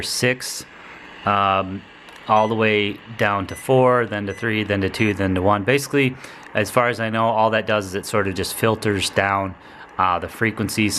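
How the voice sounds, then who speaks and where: Male product reviewer, fairly low register, casual and explanatory, home recording with some background noise. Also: fairly bright tone. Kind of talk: casual gadget demonstration.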